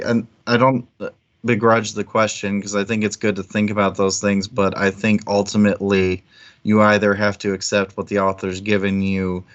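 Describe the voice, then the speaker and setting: A man's voice: fairly low pitch, a bit bright. A man co-hosting a book discussion stream, talking casually and frankly with easy swearing. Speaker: book club stream co-host. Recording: dull lo-fi streaming audio.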